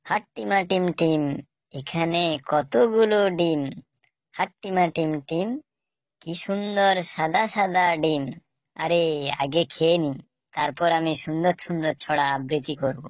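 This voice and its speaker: A sly, greedy cartoon fox played by a woman, pitched on the low side. The delivery is sing-song and mischievous, like nursery-rhyme chanting. The recording is close and dry. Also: measured pace, no echo. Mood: gleeful, greedy. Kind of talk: children's cartoon character acting.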